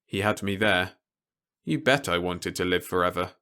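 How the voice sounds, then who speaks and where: A man's voice: low voice, moderate pace, sharp tone. Man reading a story aloud. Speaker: audiobook narrator reading fiction aloud. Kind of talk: scripted first-person story narration.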